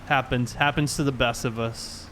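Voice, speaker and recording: A man's voice: medium pitch, steady tone, moderate pace, pushed and bright. A man casually chatting and commenting while live streaming a game, with an easygoing manner. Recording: clear background game vehicle noise. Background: game vehicle and ambience sounds.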